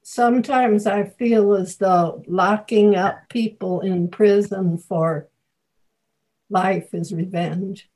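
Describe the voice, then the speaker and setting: A woman's voice: medium pitch, fairly even intonation, slow, dark tone, poor audio. A woman taking part in a group discussion, speaking casually and reflectively as she asks and answers questions. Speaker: group participant asking questions.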